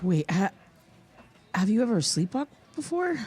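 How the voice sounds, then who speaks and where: A woman's voice: medium pitch, sing-song, a little slow, darkish tone. A woman playing an earnest, sleepless podcast host in an audio drama, speaking in a hesitant, searching way. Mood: concerned. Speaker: podcast host interviewing a witness. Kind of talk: naturalistic audio drama dialogue.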